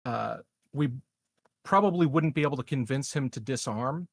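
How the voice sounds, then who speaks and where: A man in a casual tabletop roleplaying game, laying out plans with deliberate, measured phrasing. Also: mid-range voice, animated, gravelly, no room sound.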